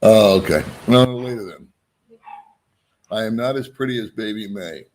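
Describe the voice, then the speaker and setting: A middle-aged man hosts a relaxed live stream and talks to his viewers in a somewhat low voice. He pokes fun at himself and greets people by name as they join. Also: normal speed, a little hiss or hum, low-quality recording. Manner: joking, self-deprecating, greets viewers by name. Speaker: vlogger hosting a live stream.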